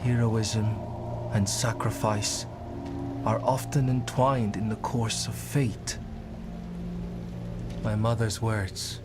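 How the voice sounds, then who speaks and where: An actor playing an earnest, troubled young scholar in a video game, solemn and hesitant. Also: male voice, on the low side, steady tone, slow, long pauses, over loud music. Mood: sorrowful, resolute. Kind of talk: dramatic video game acting.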